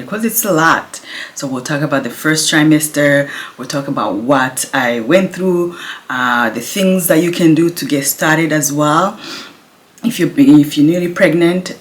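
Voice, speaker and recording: A woman, her Kinyarwanda, chatting casually to camera at home, speaking softly and laughing now and then. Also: low voice. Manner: speaks softly, laughs often, restarts words. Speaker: vlogger. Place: home, indoors.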